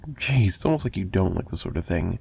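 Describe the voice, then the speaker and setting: A man's voice: sits low, lively intonation, a little fast, creaky, darkish tone, slightly rough, full character voice. A man playing a possessive, menacing mafia boss in an ASMR roleplay, taunting the listener with theatrical mock sweetness and threats. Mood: taunting, irritated. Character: possessive, menacing young male mafia boss, obsessive kidnapper. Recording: noticeable background noise.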